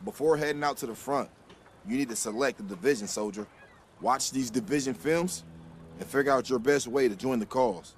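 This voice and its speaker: An actor playing a brisk, matter-of-fact army soldier who gives instructions to a new recruit in a video game. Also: male voice, medium pitch, a little hiss or hum, lightly acted. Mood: brisk, businesslike. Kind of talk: military instruction.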